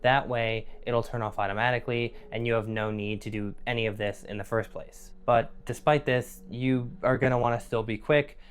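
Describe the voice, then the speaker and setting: Low-voiced man narrating over music. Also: steady tone, moderate pace, hardly any pauses, clean tone. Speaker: narrator and host. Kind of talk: scripted video narration, horror survival guide. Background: background music.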